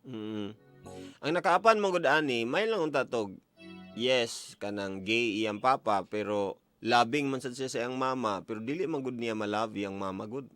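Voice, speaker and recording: A man's voice: medium pitch, normal speed, a bit bright, no echo. A man with a Cebuano Filipino accent hosting a radio call-in, chatting with callers and prompting them with questions. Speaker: radio show host taking listener calls. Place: radio studio. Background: music bed.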